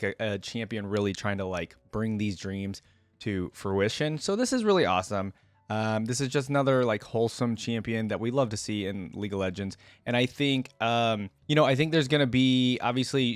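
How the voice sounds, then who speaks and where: A man's voice: mid-range voice, dry recording. A man hosting a reaction video, talking casually and enthusiastically in a rambling way with plenty of "like" thrown in. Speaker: reaction video host and fan. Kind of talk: casual reaction commentary and story reading.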